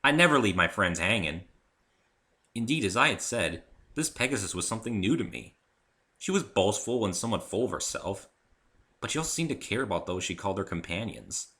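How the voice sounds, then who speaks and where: A man narrating a story in the first person as a dramatic reading, expressive and deliberate. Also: lowish voice.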